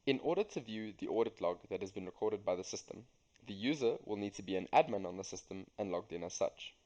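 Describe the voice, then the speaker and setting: A young man reading a scripted software tutorial as a student demonstrator. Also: lowish voice, animated, fast talker. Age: young adult.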